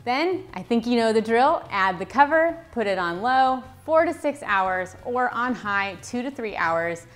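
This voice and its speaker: A woman's voice: fairly high pitch, animated, a little slow, few pauses, soft background music. Upbeat woman walking through a recipe. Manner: upbeat, listing ingredients one by one. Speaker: cooking channel host. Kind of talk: recipe tutorial with voice-over. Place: home kitchen, indoors.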